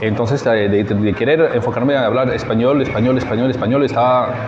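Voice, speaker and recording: A man with a French accent and French as his native language, a French teacher answering informally in a halting way, searching for words. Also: medium pitch, fairly even intonation. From France. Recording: muffled, echoey outdoor recording with crowd noise.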